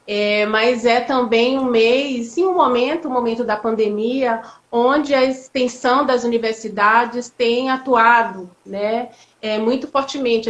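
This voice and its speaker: A woman, a law professor talking reflectively with many fillers and restarts in a live online interview. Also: fairly high pitch. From Bahia, Brazil. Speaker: law professor, guest speaker. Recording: echoey, dull lo-fi audio.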